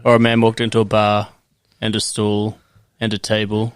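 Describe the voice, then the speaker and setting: A man's voice: on the low side, completely flat delivery, clean tone, no echo. A man co-hosting a podcast in casual chat, chiming in with playful quips. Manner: playful, quick quips and laughs. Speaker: podcast co-host.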